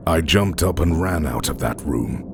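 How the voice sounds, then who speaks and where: A man reading scripted horror stories as a narrator, in a deliberate, suspenseful way with drawn-out phrase endings. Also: very deep voice, expressive. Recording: music under the voice. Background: background music.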